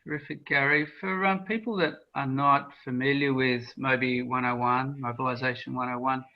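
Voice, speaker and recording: A man's voice: medium pitch, steady tone, normal speed. A man hosting an interview, asking friendly questions in a polite, affirming way with hesitant openings. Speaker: interviewer, training course host. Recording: slightly dull lo-fi audio.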